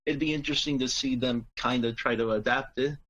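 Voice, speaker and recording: A man's voice: medium pitch, fairly even intonation, fairly quick, fairly bright tone, highs cut off. A man chatting casually as one of the panelists on a podcast. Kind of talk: casual group discussion.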